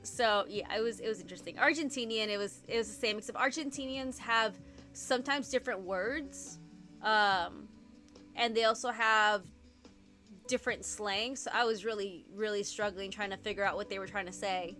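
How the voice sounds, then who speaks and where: A woman's voice: mid-range voice, pushed and bright, faint music behind. A woman from Puerto Rico hosting a casual reading-sprint live stream from her home computer, chatty and rambling with lots of "like.". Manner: chatty and rambling, fast-talking with frequent "like".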